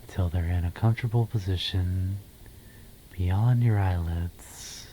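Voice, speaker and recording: A man guiding a meditation in ASMR style, speaking softly and breathily, half in a whisper, with a soothing, gentle manner and pauses between phrases. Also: deep voice, a little hiss or hum, rough recording.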